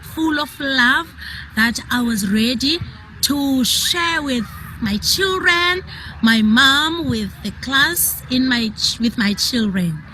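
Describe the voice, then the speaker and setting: A woman's voice: sits high, expressive, takes it slowly, sharp tone, slight background noise, rough recording. A woman with a South African accent, a teacher giving a simple, heartfelt video testimonial.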